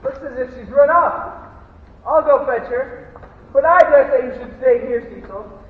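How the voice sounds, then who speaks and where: A man's voice: very high voice, measured pace. Teen boy as a formal manor gent in a stage farce, tinny, far from the mic. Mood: decisive, formal. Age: teenager. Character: protective gentleman of a manor house, formal. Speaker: student actor. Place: school theatre stage at rehearsal. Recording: echoey theatre, tinny, from far off.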